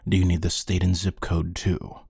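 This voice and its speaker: A man playing a bored, menacing hired interrogator in an ASMR roleplay, speaking in a deadpan, condescending, taunting way with a husky voice. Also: deep voice, normal speed, no room sound, clean studio sound, heavily acted.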